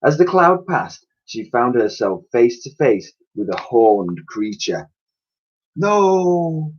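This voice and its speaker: A man reading a children's storybook aloud as a teacher would, with expressive narration, on a slightly dull lo-fi recording. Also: fairly low pitch, slow, breathy edge.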